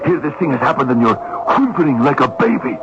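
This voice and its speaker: A noisy old radio recording carries an actor as a hardened, bitter male drifter. He speaks brusquely and impatiently, his pitch swinging widely in defiant, emphatic bursts. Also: lively intonation, brisk, highs cut off, close to an everyday voice. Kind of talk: old-time radio drama acting.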